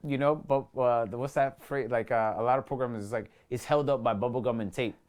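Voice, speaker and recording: Male actor as interview guest, New York accent, mid pitch, loose street slang, conversational, circles back on his phrases. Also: steady tone, a little fast, darkish tone, smooth. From New York City. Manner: slangy, repeats himself, acts out quoted remarks. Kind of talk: casual interview chat.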